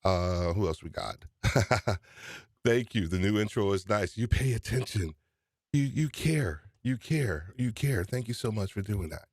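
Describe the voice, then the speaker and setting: Lowish-voiced new york guy hosting a live podcast stream, hyped, shouting out chat, dry sound. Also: measured pace, no echo. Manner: energetic, greets chat viewers by name. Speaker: podcast livestream host.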